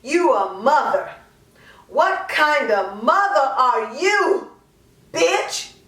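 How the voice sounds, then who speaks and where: A woman performing a dramatic monologue as an anguished, guilt-ridden mother pleading to God, impassioned and drawn-out. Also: high voice, pushed and bright, voice pushed up, heavily acted. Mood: taunting, accusing. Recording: roomy echo, distant microphone.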